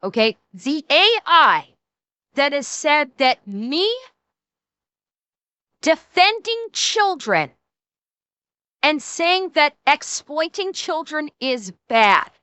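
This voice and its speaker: Indignant bright-voiced woman streamer. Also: high-pitched, sing-song, very slow, long pauses, no room sound. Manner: indignant, swearing, talks to chat. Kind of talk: live stream chat with viewers.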